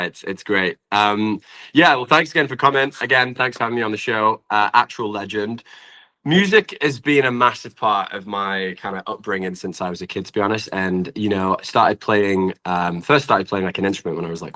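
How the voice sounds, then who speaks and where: A man's voice: lowish voice, bright, forceful tone. A British man chatting as a musician guest in an interview, polite, effusive and a little hesitant. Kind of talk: casual interview chat.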